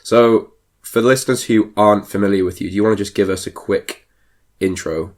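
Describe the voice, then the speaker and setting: A man's voice: lowish voice, fairly even intonation, brisk. A British man hosting a fitness podcast, friendly and loose, joking about himself. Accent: British English. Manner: friendly, loose, self-deprecating. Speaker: podcast host. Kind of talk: casual interview hosting.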